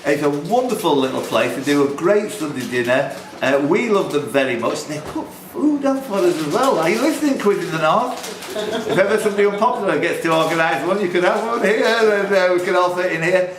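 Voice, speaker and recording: A man's voice: sits high, animated, fairly quick. A man hosting a live quiz in a pub, reading out questions and bantering jokingly with the contestants. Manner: joking, chatty, teasing contestants. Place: indoors in a pub. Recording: roomy, noisy pub recording.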